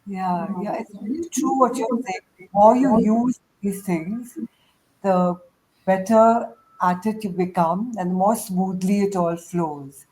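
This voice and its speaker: A woman speaks as the host of an informal group chat. Her voice sits at a middle pitch, and she talks in a chatty, encouraging manner. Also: unhurried, warm tone, slight background noise, poor audio. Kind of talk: casual hosted panel chat.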